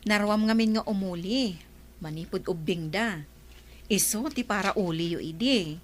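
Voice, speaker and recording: Lady acting as a friendly older village auntie selling fruit, radio drama, mid-pitched voice. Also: expressive, measured pace, a little hiss or hum, natural voice. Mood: brisk, friendly.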